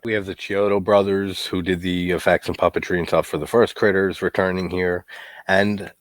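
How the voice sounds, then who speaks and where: A low-pitched man in his thirties talks through a horror movie review in a loose, rambling way, leaning on fillers and the odd curse. His nose is stuffed up from a cold. The recording is close and dry, with no room sound. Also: dry recording. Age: 30s. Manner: rambling, filler-heavy, swears casually. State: congested, getting sick. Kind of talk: casual movie commentary.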